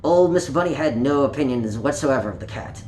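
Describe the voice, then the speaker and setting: A man reads a picture-book tale to children with a very lively, swooping delivery. He speaks playfully, straight to his young listeners. The recording sounds roomy, with a little background noise. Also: mid-range voice, brisk. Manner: playful, child-directed, with small exclamations and questions to listeners. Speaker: storyteller reading for children.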